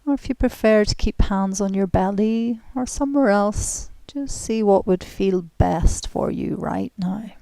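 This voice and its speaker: Slow, soft-voiced woman guiding relaxation. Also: mid-range voice, creaky, dry recording. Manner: gentle, soothing, unhurried with long pauses. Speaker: guided relaxation and yoga instructor. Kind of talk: guided relaxation, meditative instruction.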